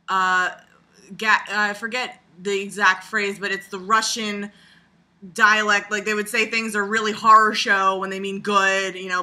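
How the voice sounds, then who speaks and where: A woman with a very bright, sharp voice at a middling pitch talks casually as a video blogger. She rambles through her commentary with animated sarcasm. Her humor is crude and she swears freely.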